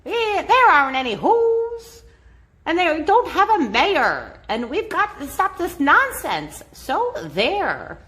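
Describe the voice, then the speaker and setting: Rowdy jeering monkeys voiced by a woman, loud, mocking, storybook reading. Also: very high-pitched, big pitch swings, slow, pitched above the performer's own voice, heavily acted.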